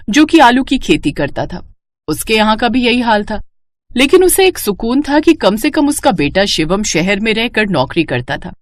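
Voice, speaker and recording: A woman narrating a scripted cartoon moral story in an expressive storytelling manner. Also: medium pitch, no room sound.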